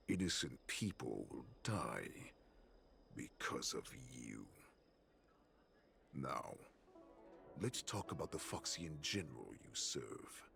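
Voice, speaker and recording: An actor playing a centuries-old wolfish warlord in a video game, a menacing, sadistic predator who savors each threat theatrically in a gravelly voice. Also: male voice, fairly bright tone, slight background noise, full character voice. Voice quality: very deep, gravelly. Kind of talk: dramatic video game voice acting. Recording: game music behind the voice.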